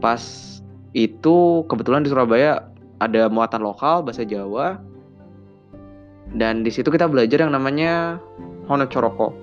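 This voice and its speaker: A young man from Bandung chats casually on a podcast episode, slangy and relaxed, now and then jumping higher to play out his childhood conversations. His voice sits at a middle pitch, and the audio is a bit dull. Soft music plays faintly underneath. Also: moderate pace, pauses often. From Bandung, West Java, Indonesia. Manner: chatty Jakarta slang, acts out childhood dialogue in raised voices. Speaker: podcast host. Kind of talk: casual personal storytelling.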